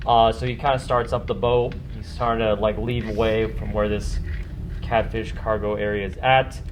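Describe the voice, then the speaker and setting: A man narrating a tabletop role-playing game as game master in a halting, informal, conversational way. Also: on the low side, flat delivery, slight background noise.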